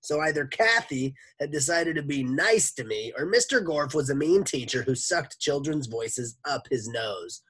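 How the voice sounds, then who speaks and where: A storybook reader voicing an eager, pushy but kindly school lunch lady, loud and insistent. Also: mid-range voice, animated, moderate pace, hardly any pauses, slightly rough, voice pushed up. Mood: reassuring, matter-of-fact.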